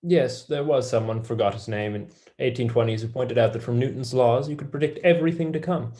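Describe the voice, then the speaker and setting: A man's voice: medium pitch, brisk, mellow, natural voice. A young man, a student actor, playing a wry, dry-witted mathematician in a staged play reading. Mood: patient, explaining. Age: young adult.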